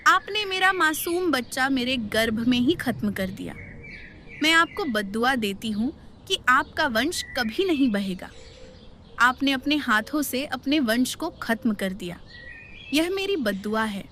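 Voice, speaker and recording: A woman's voice: sits high, lively intonation, a little slow, bright, forceful tone, slight background noise, full character voice. A woman voicing a betrayed young courtesan in a melodramatic cartoon, anguished and defiant. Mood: bitter.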